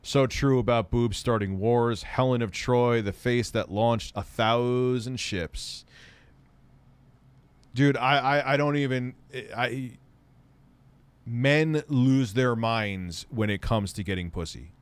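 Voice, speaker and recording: A man's voice: medium pitch, takes it slowly, clear, resonant tone, no room sound. A man hosting a casual tech livestream, reading viewer comments in a rambling, profane way and laughing often.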